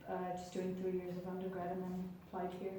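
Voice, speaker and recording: A woman's voice: fairly low pitch, completely flat delivery, fast, mellow. A young woman from Afghanistan chatting as a podcast guest, hesitant with many ums. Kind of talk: casual chat. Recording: echoey room, far from mic, faint noise.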